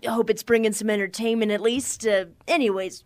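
An actress playing a polite, uneasy late-night radio host who speaks hesitantly in an audio drama. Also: female voice, on the high side, fairly even intonation, a little fast, no room sound, close to an everyday voice. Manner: hesitant, nervously chatty.

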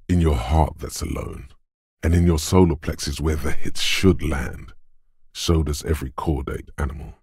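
A man playing a gruff, domineering inner voice of brute strength in a video game, blunt and deliberate in delivery. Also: darkish tone, no room sound, clean studio sound, exaggerated voice. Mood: measured, brooding. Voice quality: gravelly, husky bass. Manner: blunt, domineering gym-coach goading mixed with slow literary narration. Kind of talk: video game voice acting, scripted narration.

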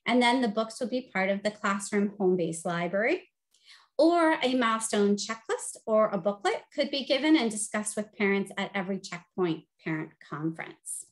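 A middle-aged woman with a high-pitched voice, teaching a webinar over a video call with an upbeat, friendly delivery full of "um"s. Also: lively intonation, normal speed. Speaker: presenter and teacher. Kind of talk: instructional webinar presentation. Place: home office, video call.

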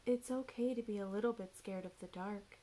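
A woman voicing a calm father owl in a children's storybook, soft and reassuring with his child. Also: mid-range voice, a little fast, slight background noise, lightly acted, poor audio. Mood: soothing. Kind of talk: children's picture book read-aloud.

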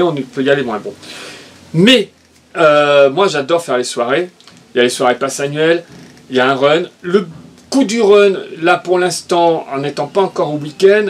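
A middle-aged man co-hosting a casual chat, talking in an animated, opinionated way while gesturing. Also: fairly high pitch. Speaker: co-host, father. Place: room with shelves of toys and merchandise.

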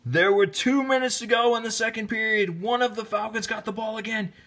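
A man reading a children's story aloud in an expressive, deliberate storytelling manner, with casual asides. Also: sits high, background noise. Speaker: storybook reader, teacher. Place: indoors, filming the book held in hand.

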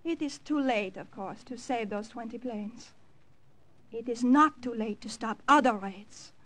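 A woman's voice: a little high, unhurried, a bit bright. An actress playing a fiery, defiant French farm woman with a French accent in an old-time radio drama. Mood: scornful. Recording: old radio recording with music.

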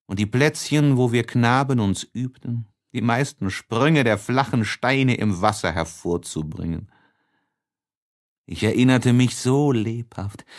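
Slow, expressive male audiobook narrator. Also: medium pitch. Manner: slow, deliberate, expressive delivery. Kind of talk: dramatic literary audiobook reading of a letter novel.

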